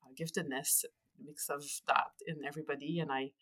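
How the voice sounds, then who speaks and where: A woman in her forties with a Quebec French accent, a native French speaker, chatting as a podcast guest with frequent pauses and restarts. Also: low-pitched, flat delivery, fairly bright tone. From Quebec, Canada. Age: 40s. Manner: earnest, pauses often with fillers and restarts. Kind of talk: casual interview chat.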